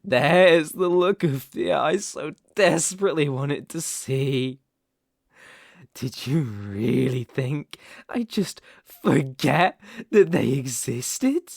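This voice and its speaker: Man acting gravelly, possessive British boyfriend. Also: on the high side, expressive, no room sound, clean studio sound, exaggerated voice. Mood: gloating, threatening. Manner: soft coaxing menace, slow deliberate emphasis. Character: obsessive, possessive, menacing young boyfriend holding partner captive. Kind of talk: intimate ASMR roleplay acting.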